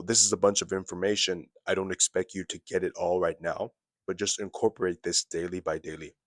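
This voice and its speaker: Deep-voiced young man giving advice. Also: dry recording, studio quality. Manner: casual, instructive, repeats phrases for emphasis. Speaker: self-improvement vlogger. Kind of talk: advice talk to camera.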